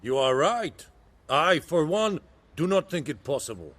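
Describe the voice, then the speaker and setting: A condescending military commander in a fantasy game. His voice sits slightly high for a man, and he speaks formally and deliberately, issuing a cool challenge. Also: sing-song, a bit bright, heavily acted. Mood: condescending, challenging. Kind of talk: fantasy video game acting.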